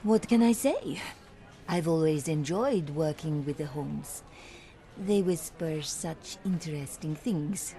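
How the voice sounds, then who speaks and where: A woman's voice: deep voice, lively intonation, slow, warm tone, exaggerated voice. An actress voicing a playful, sly young woman journalist in a detective game, teasing and theatrical.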